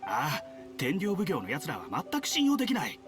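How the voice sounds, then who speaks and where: Distrustful bitter soldier. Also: male voice, fairly high pitch, huge pitch swings, fast talker, music in the background. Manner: distrustful, grumbling. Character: suspicious, bitter male soldier. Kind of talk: Japanese game dub.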